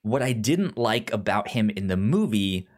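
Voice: mid-range voice, lively intonation, moderate pace, a little mellow. A podcast co-host of unclear gender, chatting casually about fiction and often stammering over repeated words and syllables. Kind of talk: casual comic and film discussion.

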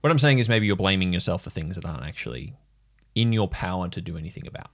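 A man's voice: deep voice, expressive, fast, a bit bright, heavily acted. A man playing a kind, thoughtful listener in an improvised audio drama, feeling out his words hesitantly. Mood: tentative, reassuring. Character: gentle counselling acquaintance, slightly awkward, thoughtful, kind.

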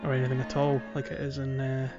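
A man co-hosting a casual gaming podcast, speaking in hesitant, halting phrases. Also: medium pitch, completely flat delivery, muffled recording, music in the background. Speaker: podcast co-host. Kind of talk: casual video game discussion.

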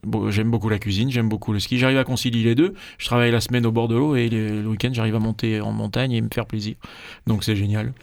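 Hesitant middle-aged chef. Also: male voice, lowish voice, fast talker, gravelly. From Marseille, France. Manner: relaxed, hesitant, many fillers and restarts. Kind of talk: radio interview guest. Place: radio studio.

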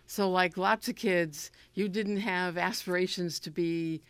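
A woman's voice: lowish voice, steady tone, measured pace, clean tone, dry recording. A woman hosting a radio show, interviewing a guest in a chatty, informal way. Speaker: radio host.

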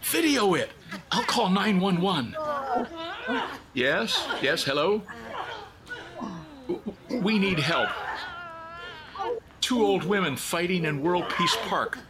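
Wry, animated bystander played by a man. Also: high voice, takes it slowly, pauses often, over a noisy crowd, heavily acted. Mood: wry, amused. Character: wry, amused homeless laid-off man, a park bystander. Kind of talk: audio drama acting.

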